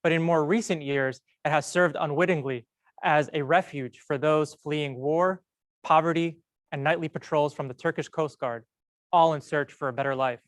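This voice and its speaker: A young man giving a prepared, storytelling talk at a medical school event, measured and earnest with slow emphatic pauses. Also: a little high, steady tone, no echo. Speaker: medical student speaker.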